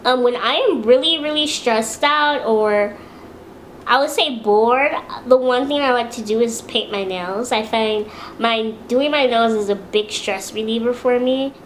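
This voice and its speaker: A young woman with a high-pitched voice, chatting casually to camera in a home video and talking about herself. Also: a little slow, a little hiss or hum. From Los Angeles, USA. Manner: chatty, relaxed, with many fillers and restarts. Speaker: beauty vlogger.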